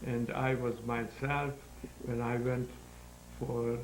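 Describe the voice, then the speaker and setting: A man in his nineties from Hungary, speaking in halting, searching sentences as an interview guest. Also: medium pitch, little pitch movement. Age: 90s. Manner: halting, searching for words, non-native grammar. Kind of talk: interview answers. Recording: roomy echo with faint hum.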